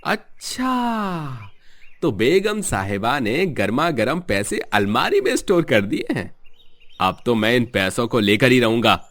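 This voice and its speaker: An actor playing a greedy, scheming drunkard husband in a melodramatic cartoon, sneering and threatening as a villain. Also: male voice, medium pitch, sing-song, moderate pace, a bit bright. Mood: sly, scheming.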